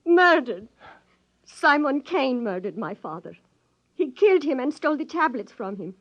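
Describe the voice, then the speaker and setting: An actress playing a distraught, bitter wife in a 1950s radio drama, with a halting, intense, dramatic delivery. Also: female voice, high voice, sing-song, fairly bright tone, heavily acted. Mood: bitter grief. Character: distraught, bitter married woman, grieving her murdered father. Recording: muffled, echoing 1950s radio recording.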